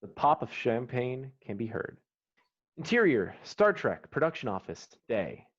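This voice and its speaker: A man's voice: medium pitch, very animated, unhurried. A man reading stage directions and scene headings as the narrator of a screenplay. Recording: dull, slightly muffled online call audio.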